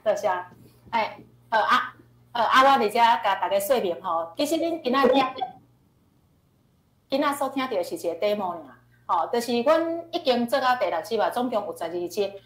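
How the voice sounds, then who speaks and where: A woman's voice: a little high, lively intonation, moderate pace. A woman with a Taiwanese accent teaching informally in an online question-and-answer session, explaining and repeating key phrases. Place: online video class. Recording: echoey online class audio.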